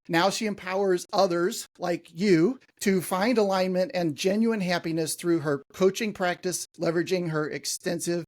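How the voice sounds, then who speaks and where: A man hosting a marketing podcast, chatting in a friendly, casual way with frequent fillers. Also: high-pitched. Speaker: podcast host. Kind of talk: podcast interview.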